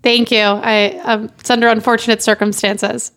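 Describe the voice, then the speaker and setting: Dry, higher-voiced woman podcast guest. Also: little pitch movement, normal speed, a bit bright. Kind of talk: casual comedic banter.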